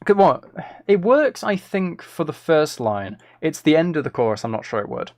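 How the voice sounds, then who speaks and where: A man's voice: fairly high pitch, sing-song, normal speed, dry recording, clean studio sound. A man chatting casually on a live stream, playful and self-deprecating, thinking aloud as he works. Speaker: live streamer and music maker.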